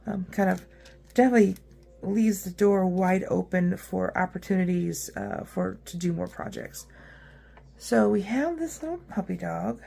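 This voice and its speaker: Hoarse, gravelly-voiced woman craft host. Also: mid-range voice, moderate pace, mellow. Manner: chatty and upbeat, with frequent ums and uhs. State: rough, hoarse voice. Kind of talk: casual how-to craft demonstration.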